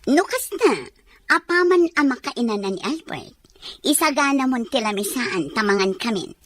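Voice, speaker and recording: An actress with an Ilocano accent playing a haughty, bossy mistress of the house in a drama. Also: female voice, fairly high pitch, expressive, normal speed, a bit bright.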